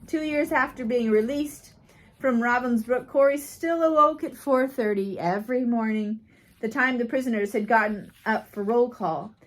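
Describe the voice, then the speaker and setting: Female audiobook reader, slightly high voice, measured phrase-by-phrase delivery of a life story. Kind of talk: scripted narration of a biography read aloud.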